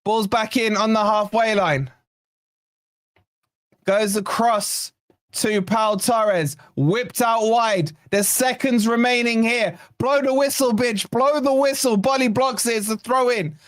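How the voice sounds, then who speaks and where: A man hosting a fan channel's live watch-along, hoarse from shouting, giving excited, partisan play-by-play with swearing. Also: very high-pitched, takes it slowly, dry recording, clean studio sound. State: hoarse, losing his voice. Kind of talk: excited fan match commentary.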